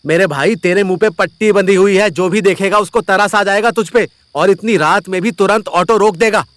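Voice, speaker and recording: A man's voice: high-pitched, moderate pace, fairly bright tone, no room sound. An actor playing a level-headed young man who takes charge, firm and reassuring, in an audio drama. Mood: urgent, firm. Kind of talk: audio drama acting in Hindi with English words. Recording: music bed behind voice.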